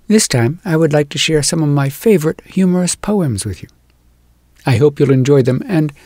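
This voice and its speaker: A man reading humorous verse aloud as a storyteller, in an animated, theatrical, folksy manner with drawn-out words and sudden emphasis. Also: fairly high pitch, vocal fry, no echo, clean studio sound. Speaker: storyteller, audiobook narrator.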